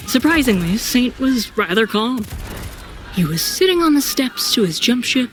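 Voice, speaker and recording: A woman's voice: on the high side, big pitch swings, normal speed. A woman narrating a sci-fi audio drama in first person as a troubled, brooding soldier. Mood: tense recounting. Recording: clear background noise and ambience.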